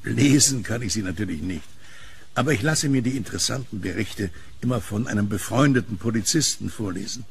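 Man playing a gravelly, reserved kiosk keeper. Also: on the low side, fairly quick, a little hiss or hum. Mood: guarded curiosity. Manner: calm, polite, guarded. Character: reserved, curious blind male kiosk keeper with dog. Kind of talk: German horror audio drama acting.

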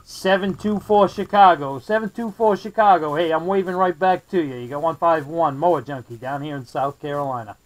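American man, high voice, courteous CB operator handing out call signs and sign-offs, slight background hiss. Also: normal speed, few pauses. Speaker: CB radio operator, video maker. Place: indoor radio desk.

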